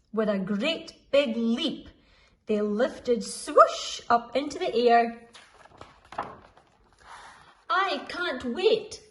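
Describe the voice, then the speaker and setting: A woman reading a children's story aloud expressively, deliberately drawing out the dramatic sound words. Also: a little high. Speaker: school storyteller.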